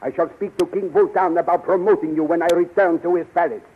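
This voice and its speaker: Man playing stern decisive commander, high husky voice, formal orders, tinny narrow old radio sound. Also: fairly even intonation, quick pace, a little hiss or hum, natural voice. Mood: commanding. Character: commanding male prince, shrewd, stern military leader. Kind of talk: 1930s radio serial acting.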